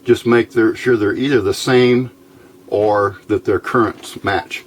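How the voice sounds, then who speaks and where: A man's voice: lowish voice, fairly even intonation, unhurried, a little hiss or hum. An American man giving an informal technical tutorial as a hobbyist host, plainspoken and a little hesitant.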